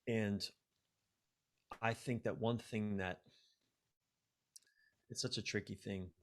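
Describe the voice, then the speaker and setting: A man speaking as a coach and guest in a podcast conversation, explaining ideas reflectively and haltingly, repeating key words for emphasis. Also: lowish voice, fairly even intonation.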